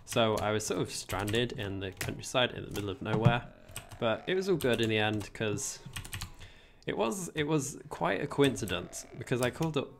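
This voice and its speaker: A man's voice: medium pitch, very animated, moderate pace, gravelly, noisy background. A young English man chatting casually on a gaming livestream, rambling about his week and answering viewers. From England. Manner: chatty, rambling, reads chat aloud. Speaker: streamer.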